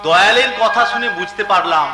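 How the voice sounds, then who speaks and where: A man's voice: high-pitched, brisk, lightly acted. A man playing a sorrowful, counselling friend in Bengali folk-theatre melodrama, mournful and declamatory. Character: sorrowful, counselling friend who pleads for the accused wife. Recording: echoey stage sound, loud music.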